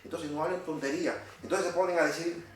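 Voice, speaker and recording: A man's voice: a little high, fairly even intonation, fast talker, slight background noise. A middle-aged man with a Cuban Spanish accent, ranting to camera in an indignant, scolding, repetitive way. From Cuba. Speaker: vlogger. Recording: echoey room, distant from mic.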